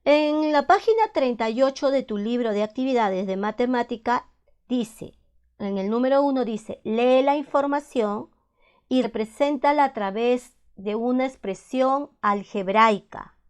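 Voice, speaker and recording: A woman teaches math at a slow, unhurried pace. Her voice sits fairly high, and she goes patiently through each step, repeating it and stopping to ask whether it is clear. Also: a little mellow. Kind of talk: slow step-by-step classroom explanation.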